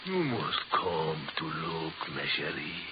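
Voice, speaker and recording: A man playing a macabre, coaxing old craftsman with a French accent in an old-time radio horror drama, his delivery theatrical and repetitive, swinging from hushed to shrill. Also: very animated, takes it slowly, exaggerated voice. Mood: excited, manic. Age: older. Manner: coaxing, repetitive, proudly muttering. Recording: muffled, noisy old radio recording.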